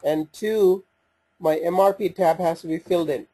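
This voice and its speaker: A man giving an informal step-by-step software walkthrough, speaking haltingly as he thinks aloud while working through the screens. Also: sits high, steady tone, husky. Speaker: software demonstrator. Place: voice-over for a screen recording.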